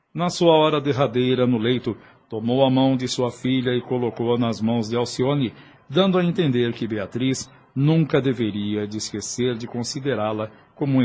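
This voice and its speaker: A man's voice: mid-range voice, a little mellow, husky. A man with a Brazilian accent reading scripted, dramatic narration for an audio novel.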